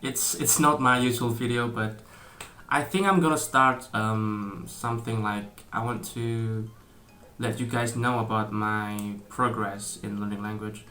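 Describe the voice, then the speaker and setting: Bright-voiced young Indonesian man, hesitant. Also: on the low side, fairly even intonation, measured pace, soft background music. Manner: hesitant, many fillers and restarts, laughs often. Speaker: vlogger. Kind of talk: casual talk to camera.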